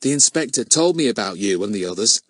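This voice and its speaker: A man playing a humble village policeman, lively pitch. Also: quick pace, no room sound. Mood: admiring. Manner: plain-spoken, colloquial, modest. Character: downtrodden, decent young village policeman, humble and honest.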